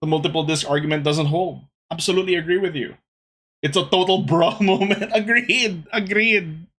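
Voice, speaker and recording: A young man hosting a casual gaming podcast, talking to his chat in an animated, exasperated way. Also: high voice, normal speed, slightly rough. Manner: animated, exasperated ranting with laughs. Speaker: podcast host.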